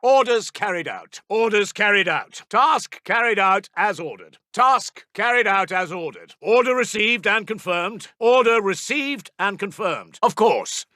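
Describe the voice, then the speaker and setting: A man playing a brisk, dutiful British Second World War intelligence officer in a strategy game, with a clipped, formal, commanding delivery. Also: high-pitched, animated, pushed and bright, dry recording, studio quality, heavily acted. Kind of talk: military strategy game unit announcements.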